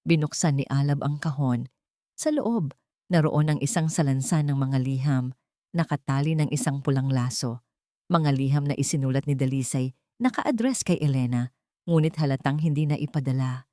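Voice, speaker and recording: A woman's voice: rumbling low, normal speed, mellow, dry recording, studio quality. A woman with a Filipino accent reading a scripted dramatic story as its narrator.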